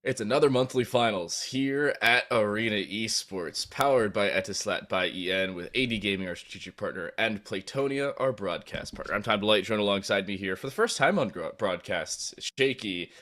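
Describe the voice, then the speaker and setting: A man hosting an esports broadcast as caster, energetic and given to sarcastic asides. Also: on the low side, normal speed, few pauses.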